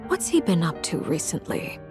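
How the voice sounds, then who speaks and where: Game character: wary, dry, scornful, slightly low register over clear music. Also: female voice, very animated, moderate pace. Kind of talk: video game cutscene acting.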